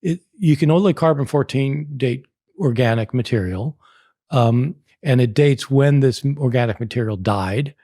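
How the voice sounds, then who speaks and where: A man's voice: mid-range voice, moderate pace, dark tone, no echo, clean studio sound. Chatty older American man telling a story. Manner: chatty and anecdotal, laughs often. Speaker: guest scholar. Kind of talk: storytelling in a podcast interview.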